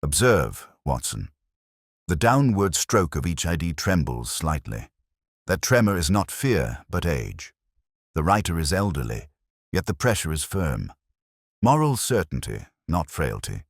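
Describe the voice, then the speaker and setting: A man's voice: a bass voice, big pitch swings, unhurried, no echo, studio quality, exaggerated voice. A man voicing an incisive, cool-headed detective in an audiobook story, speaking in precise, theatrical pronouncements. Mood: keen, analytical. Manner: precise, declarative, aphoristic.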